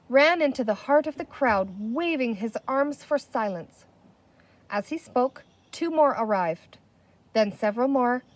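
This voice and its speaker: A woman with a fairly high voice reads a children's story aloud. She narrates expressively, as a storyteller does, out in the open air. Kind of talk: expressive read-aloud narration with character dialogue. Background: birdsong, wind chimes, a barking dog.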